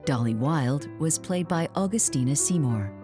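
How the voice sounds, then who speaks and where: A woman's voice: low-pitched, expressive, moderate pace, over music. A woman reading a scripted credits announcement for an audio drama. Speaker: credits reader.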